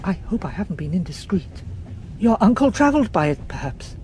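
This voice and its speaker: A woman's voice: medium pitch, very animated, a little fast, a little mellow, slight background noise, pitched above the performer's own voice, close to an everyday voice. Lady doing a chatty young gent, teasing, breezy, voice jumps around a lot. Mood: playful. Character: chatty, gallant young English gentleman.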